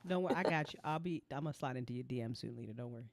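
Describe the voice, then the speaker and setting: A woman's voice: rumbling low, racing, gravelly. A woman hosting a casual after-show chat and promoting an audio drama network.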